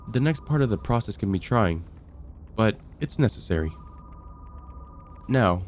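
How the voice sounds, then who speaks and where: A composed vampire counselor acted by a man speaks in a lowish voice, measured and clinical, like an official long in the job. It is an ASMR roleplay with matter-of-fact delivery, over noticeable background noise. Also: a little mellow, close to an everyday voice.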